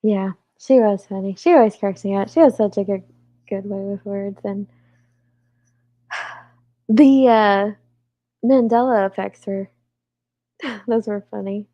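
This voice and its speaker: Chatty woman with a rough, gravelly voice. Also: medium pitch, slow pace, pauses often, mellow, no room sound. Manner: chatty, laughs often, asides to chat viewers. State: congested, rough voice. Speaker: livestream host. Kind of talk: casual chat with live chat.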